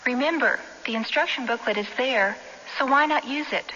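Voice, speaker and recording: A woman gives scripted advice as a safety expert on radio. Her voice is bright and a little high, recorded with long echo and a dull band. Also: normal speed. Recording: echoing, faintly noisy lo-fi radio recording.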